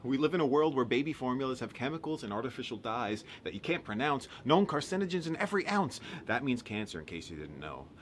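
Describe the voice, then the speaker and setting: A man performing a rhyming, satirical spoken-word monologue in a sardonic, emphatic, theatrical way with dramatic pauses. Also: medium pitch, sing-song, a little hiss or hum. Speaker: monologue poet.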